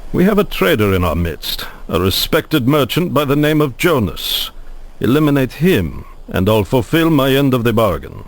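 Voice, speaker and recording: An actor playing a sly, bargaining resistance agent in a medieval video game, genial yet calculating. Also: male voice, mid-range voice, sing-song, normal speed. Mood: obliging, scheming. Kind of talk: scripted video game voice acting.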